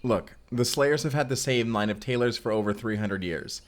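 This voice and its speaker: A man's voice: medium pitch, moderate pace, lightly acted. A man acting a mysterious vampire slayer, earnest and wryly awkward, in an intimate audio roleplay with casual, confiding delivery. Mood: matter-of-fact, wry. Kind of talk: cinematic audio roleplay acting, intimate one-sided dialogue.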